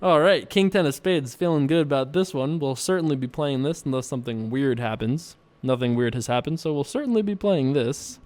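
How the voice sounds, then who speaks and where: A man's voice: medium pitch, darkish tone. A young man giving casual, playful commentary over his online poker hands, joking and chuckling at himself as he thinks through each decision. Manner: jokey, chuckling, self-amused, drawn-out mock pondering. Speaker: poker vlogger.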